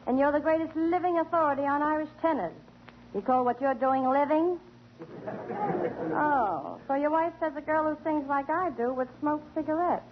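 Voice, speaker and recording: A woman's voice: high voice, heavy background noise. A woman acting in a 1940s radio comedy, brassy and tough-talking as a guest star. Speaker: guest star singer Miss Neeson. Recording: muffled old radio broadcast with band.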